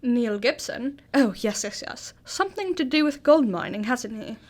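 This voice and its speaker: Woman acting as curious female detective's companion, slightly high voice, cheery, old-fashioned politeness, radio drama. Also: animated, moderate pace, close to an everyday voice. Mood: cheerful, curious.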